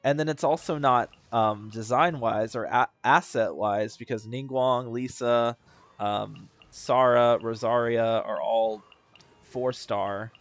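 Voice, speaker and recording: A young man thinks aloud over a video game, rambling and circling back on his words. His voice sits at an everyday middle pitch, with faint game music under it. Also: fairly even intonation, slow, dry recording. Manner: rambling, chatty, lots of fillers and restarts. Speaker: gaming video host. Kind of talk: casual gameplay commentary.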